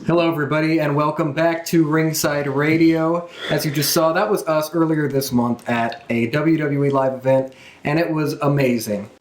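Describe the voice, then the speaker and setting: Higher-voiced man, podcast host, roomy sound. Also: fairly even intonation, normal speed. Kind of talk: casual chat recapping events. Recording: roomy, echoing room sound.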